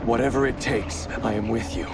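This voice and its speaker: An actor playing a loyal, impassioned young ninja in a video game, urgent and earnest. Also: male voice, medium pitch, a little fast, lightly acted. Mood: steadfast. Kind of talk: cinematic video game acting. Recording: loud music behind the voice.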